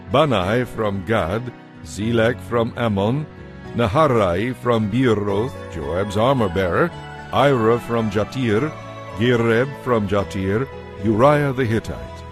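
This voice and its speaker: A man's voice: on the low side, slow pace, over music. A man giving a scripted, dramatized narration of scripture as the narrator.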